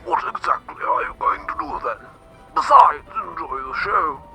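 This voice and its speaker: A man acts out a formal, loyal butler with a sarcastic streak for a radio drama. The voice is sharp and creaky, leaping up and down. Faint music plays behind. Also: fairly high pitch, very animated, moderate pace, heavily acted. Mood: sardonic. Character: dry, sardonic, loyal male butler.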